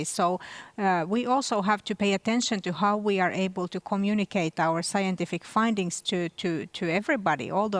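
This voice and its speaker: A woman with a Finnish accent gives her remarks as an academic panelist. Her voice is a little on the low side, and she often repeats words as she goes. The recording is dry and free of room echo. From Finland.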